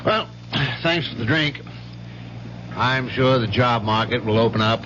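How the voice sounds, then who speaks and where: An actor playing an affable, mild-mannered professor in conversational 1970s radio drama style, genial and politely hesitant. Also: male voice, mid-range voice, a little slow, natural voice. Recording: muffled, noisy old radio broadcast.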